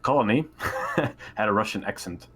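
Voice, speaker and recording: A man playing a tabletop game, chatting casually in a hesitant, halting way. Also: on the low side, fairly bright tone, slight background noise. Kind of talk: casual chat.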